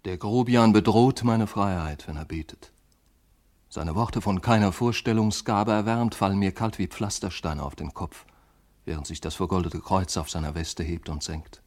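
An actor playing a rebellious, disdainful schoolboy in a radio drama, with a pointed delivery of shifting intensity. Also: male voice, low voice, moderate pace, darkish tone, natural voice. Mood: scornful. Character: rebellious, disdainful schoolboy, secretly admiring a classmate. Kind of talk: literary radio drama monologue.

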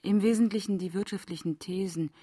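A woman's voice: medium pitch, brisk, mellow, husky, dry recording, lightly acted. An actress playing a confident professional woman in a radio play, composed and matter-of-fact.

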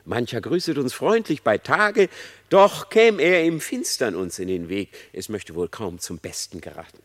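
An actor playing a sly, flattering, treacherous male fox in a verse radio play, with wheedling false courtesy. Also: medium pitch, moderate pace. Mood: falsely friendly. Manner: wheedling, theatrical, feigned courtesy.

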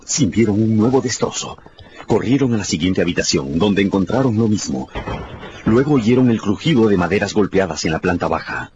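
A man's voice: on the low side, animated, moderate pace, background noise. A man narrating a scripted story for radio in a dramatic storytelling style. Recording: dull sound with music behind.

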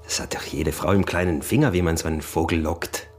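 An actor with an Austrian accent playing a cunning, insistent peasant smuggler and husband in a radio drama. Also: male voice, sits low, steady tone, a little fast, breathy edge, faint music behind. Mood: coaxing, sly. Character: sly scheming peasant smuggler, husband, early 1900s. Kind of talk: radio drama acting in dialect.

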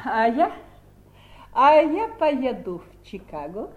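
An imperious, ambitious high-voiced woman. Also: very animated, slow, phone-quality sound, exaggerated voice. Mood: dreamy, teasing. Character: ambitious, imperious sister hungry for money and city life. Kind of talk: 1970s Soviet radio play acting.

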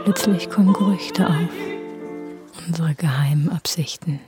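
A man's voice: on the high side, huge pitch swings, slow pace, heavily acted. A man acting a gossiping, uneasy, prejudiced society guest in a radio play, speaking in clipped, insinuating phrases. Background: loud operatic music.

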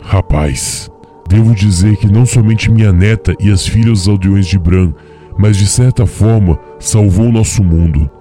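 A man's voice: lowish voice, measured pace, dark tone, soft background music, lightly acted. A man playing an ancient, grandfatherly golden dragon librarian in a fantasy audio drama, speaking formally and with authority. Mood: solemn, grateful. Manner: formal, solemn phrasing.

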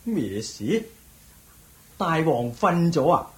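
A man's voice: a little high, very animated, slow. A man acting a haughty, sneering, domineering general in a Hong Kong Cantonese radio drama. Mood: suspicious, impatient. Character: haughty, bullying army general.

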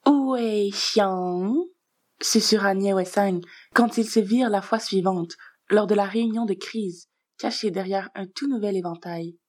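A woman's voice: medium pitch, steady tone, moderate pace, darkish tone, no room sound, studio quality. A woman narrating a scripted story as a reader.

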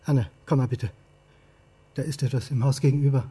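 An actor playing an anxious, suspicious male historian in a radio crime drama, thinking aloud in halting mutters. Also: medium pitch, fairly even intonation, heavy background noise, natural voice. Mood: wary. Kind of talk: radio drama acting with inner monologue.

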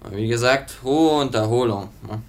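A cold company boss, played by a man speaking at medium pitch. He is brisk and patronising, in the manner of vintage radio drama. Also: slight background noise, lightly acted. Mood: condescending. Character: condescending, cold company boss dismissing an employee. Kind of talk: 1950s German radio drama acting.

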